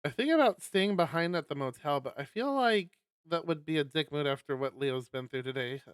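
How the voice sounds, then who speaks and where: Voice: medium pitch, lively intonation, fairly quick, clean tone, no room sound. A streamer reading a visual novel aloud on a live stream, narrating and breaking off for exasperated, sweary commentary.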